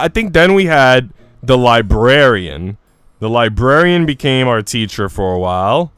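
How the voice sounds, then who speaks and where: A man chats casually on a game live stream, with slangy, profane, joking talk. His voice is smooth and clear at a middling pitch. The sound is dry, with some music in the background. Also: a bit bright, dry recording. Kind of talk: casual chat while streaming a visual novel.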